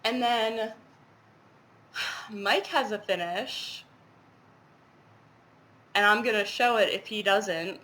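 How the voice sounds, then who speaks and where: Woman vlogger, stuffy nose, bright tone, rambling casual chat. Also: medium pitch, takes it slowly, pauses often. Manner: rambling, lots of fillers and asides. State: congested with a head cold. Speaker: cross-stitch vlogger. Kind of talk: casual show-and-tell chat.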